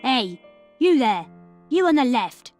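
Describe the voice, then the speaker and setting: A woman's voice: high-pitched, big pitch swings, takes it slowly, darkish tone, faint music behind, exaggerated voice. A woman voicing a bossy, jealous green-haired troll toy in a children's story, scolding and commanding. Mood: bossy, threatening.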